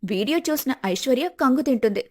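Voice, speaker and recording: A woman reading scripted narration for an animated moral story. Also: high voice, fairly quick, husky.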